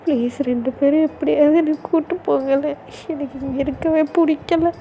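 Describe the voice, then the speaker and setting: A woman's voice: high-pitched, fairly even intonation, moderate pace, dark tone, soft background music. A woman voicing a despairing young woman who pleads tearfully to be taken away from home. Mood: pleading upset.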